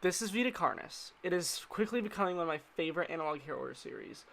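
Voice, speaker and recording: A man's voice: on the high side, animated, quick pace, creaky, fairly bright tone. A man narrating a casual explainer video in an informal, slangy way, with playful asides.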